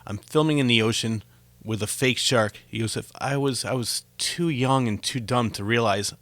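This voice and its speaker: Man, talk show host, mid-pitched voice, chatty storyteller, conversational, very dry sound. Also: normal speed, fairly bright tone, dry recording. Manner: chatty, tells anecdotes with acted-out quotes. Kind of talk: conversational interview.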